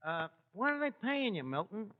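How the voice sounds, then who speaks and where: High-pitched New York tavern schemer. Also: male voice, sing-song, moderate pace. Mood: casual, probing. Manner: slangy, mangles words, hesitant sales patter. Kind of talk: 1940s radio comedy acting. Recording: noisy, muffled 1940s radio recording, echoey hall.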